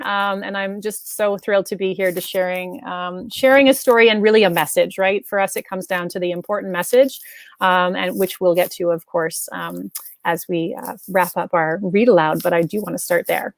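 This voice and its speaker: A woman with a Canadian accent speaks in a dry, echo-free sound. She is an effusive teacher and co-host, introducing things casually and off the cuff. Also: medium pitch. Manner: effusive, enthusiastic. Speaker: kindergarten teacher and co-author co-hosting.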